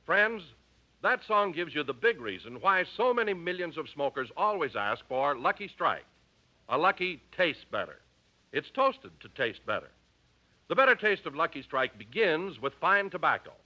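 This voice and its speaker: A male American announcer with a very bright, forceful, fairly high voice reads scripted 1950s radio copy. The broadcast recording is a little dull. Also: sing-song, normal speed.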